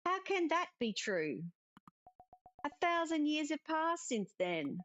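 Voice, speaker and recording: A woman's voice: high voice, sing-song, slow pace, fairly bright tone, muffled recording, soft background music, voice pushed up, full character voice. A woman reading the part of a dead brother's earnest, emotional spirit in a visual novel, emphatic and pleading. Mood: pleading, insistent.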